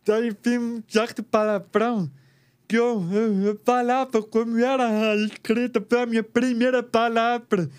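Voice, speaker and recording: A man's voice: very high-pitched, flat delivery, measured pace, smooth. A man from Rio de Janeiro who lip-reads, speaking with careful, uneven pronunciation learned artificially, as a guest in a casual interview.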